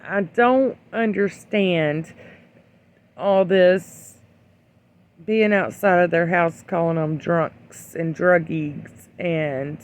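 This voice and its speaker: An opinion vlogger giving casual, rambling commentary in a blunt, admonishing way, with hesitant pauses. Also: medium pitch. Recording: dull lo-fi sound.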